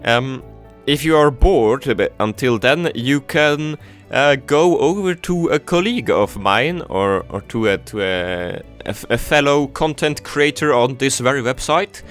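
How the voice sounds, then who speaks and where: A man's voice: mid-range voice, lively intonation, unhurried, fairly bright tone, soft background music. A young man whose first language is German, chatting casually over his city-building game with many fillers and restarts. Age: teens. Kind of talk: casual gameplay commentary.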